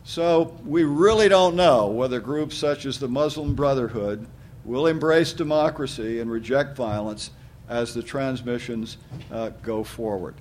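A man closing an academic presentation on a panel, speaking in measured, halting phrases with fillers. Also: medium pitch, a little mellow, a little hiss or hum. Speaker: panelist and moderator.

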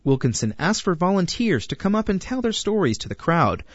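A man's voice: high-pitched, sing-song, brisk, dry recording, lo-fi sound. A man reading a scripted news feature as its narrator. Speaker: narrator, reporter.

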